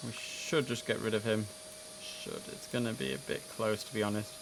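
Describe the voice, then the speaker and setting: A man's voice: fairly low pitch, animated, moderate pace, creaky, slight background noise, poor audio. A man commentating casually on a simulator game, chatting and musing to himself, with clipped radio calls. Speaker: streamer playing an air traffic control simulator.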